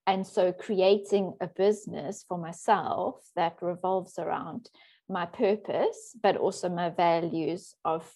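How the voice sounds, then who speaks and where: A woman's voice: fairly low pitch, fairly even intonation, slow. A woman from Africa, a coach talking as a podcast guest in a chatty, laughing way. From South Africa. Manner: warm, chatty, laughs often. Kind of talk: casual interview talk.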